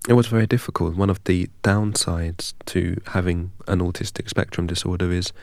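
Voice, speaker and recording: A man from England, a guest in an interview, telling his story in a measured, deliberate way. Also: low voice, fairly even intonation, no echo. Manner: measured and deliberate, recites long strings of numbers evenly.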